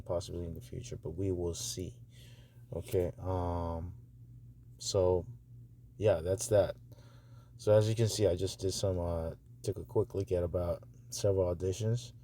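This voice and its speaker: Low, dark-voiced man muttering casually. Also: fairly even intonation, unhurried. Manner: hesitant, muttering, thinking aloud. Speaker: audiobook publisher reviewing narrator auditions. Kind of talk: casual screen-recording commentary. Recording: noisy phone screen recording.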